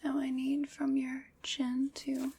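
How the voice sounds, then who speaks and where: A calm, precise female armorer played by a woman leans close and measures you in soft, mostly breathy whispers for an ASMR roleplay. Her slightly high voice has a gravelly edge, and she takes her time, slipping in wry little jokes. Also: takes it slowly, slight background noise. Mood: hushed, attentive. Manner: gentle, precise and wryly joking.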